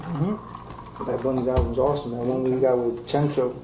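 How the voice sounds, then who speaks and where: A man in his forties from New Jersey, a guest telling stories in a relaxed, slangy way. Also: mid-range voice, normal speed. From New Jersey, USA. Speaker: guest, music engineer. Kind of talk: casual interview. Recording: echoey room with faint noise.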